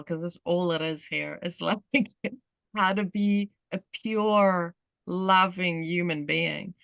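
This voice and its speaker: A woman's voice: medium pitch, a bit bright, no room sound. Rambling Australian-accented woman host. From South Africa. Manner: rambling, many "you know" fillers. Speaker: workshop host. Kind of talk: casual talk on a group call.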